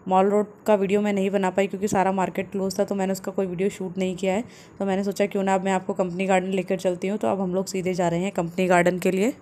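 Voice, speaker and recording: Woman doing travel vlog voiceover, flat steady tone, mellow voice. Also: mid-range voice, fast, a little hiss or hum. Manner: calm, explanatory, steady. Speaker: travel vlogger. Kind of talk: narrated travel vlog voice-over.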